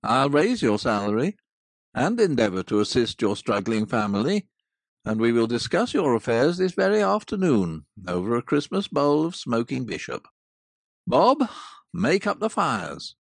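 A woman voicing a gruff old male miser turned jolly, growling and hearty. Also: extremely low pitch, huge pitch swings, slow pace, no room sound, studio quality, pitched below the performer's own voice, exaggerated voice.

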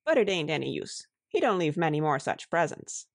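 A woman voicing a shrewd, good-natured, calm housewife who speaks wryly in foreign-tinged broken syntax. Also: low voice, fairly quick, dry recording, clean studio sound, full character voice.

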